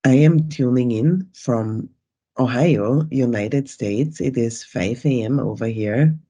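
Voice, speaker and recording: A woman's voice: very deep voice, slow, dark tone, slightly rough. A woman with an Austrian German accent moderating an online event on a video call, upbeat and welcoming as she greets the audience.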